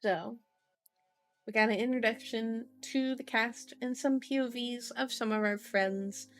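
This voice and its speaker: A woman's voice: fairly high pitch, fairly even intonation, unhurried, faint music behind. A woman hosting an ASMR book reading with casual, playful asides. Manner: playful asides, repeats words for fun. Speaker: ASMR host reading a novel aloud.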